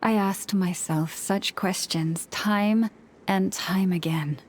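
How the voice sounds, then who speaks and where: Actress as serene young moon maiden, video game fantasy, slow measured delivery, solemn and quietly melancholy, faint noise behind. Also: female voice, mid-range voice, lightly acted. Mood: vulnerable, relieved. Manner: solemn, measured, formal storytelling. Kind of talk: scripted video game dialogue.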